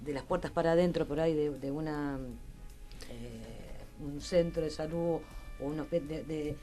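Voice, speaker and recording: Low, mellow Argentine woman. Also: moderate pace, faint music behind. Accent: Rioplatense Argentine. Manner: hesitant, agreeing. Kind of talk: radio panel discussion.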